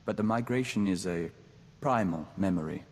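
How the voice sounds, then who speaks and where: An actor voicing a calm, formal young man joined to an insect hive mind in a video game, speaking in a measured way as "we". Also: deep voice, big-room sound. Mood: earnest, dreamy. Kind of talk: scripted video game voice acting.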